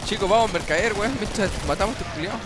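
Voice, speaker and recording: High-voiced young Chilean man gaming. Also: very fast, a crowd in the background. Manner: crude slangy banter, swearing. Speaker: gamer playing online with friends. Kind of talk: casual chat during online gaming. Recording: gunfire and game noise, overlapping voices. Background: video game gunfire, friends on voice chat.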